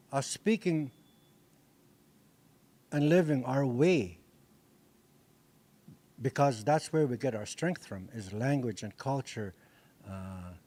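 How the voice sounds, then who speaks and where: An older man whose first language is Dakota speaks to a class through a handheld microphone. He talks earnestly, often restarting and repeating phrases as he works through a thought. His pitch sits in an ordinary middle range. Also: mellow. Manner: earnest, repetitive, with frequent restarts. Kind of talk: classroom lecture. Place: indoor meeting room. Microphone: handheld mic.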